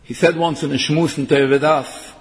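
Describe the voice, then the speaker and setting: High-voiced man preaching. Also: steady tone, normal speed. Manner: English mixed with Yiddish and Hebrew terms, chanting some verses. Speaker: rabbi giving a Torah talk. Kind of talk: sermon. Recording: long hall reverb.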